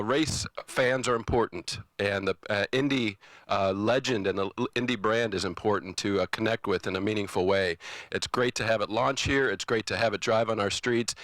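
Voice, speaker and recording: A man's voice: medium pitch, a bit bright, no room sound. A man giving an upbeat welcome speech as a chamber of commerce leader, boosterish and folksy.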